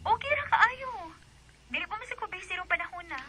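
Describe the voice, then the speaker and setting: A woman's voice: very high-pitched, animated, a little fast. A woman playing an easygoing, friendly young woman in a radio drama, with a Filipino accent.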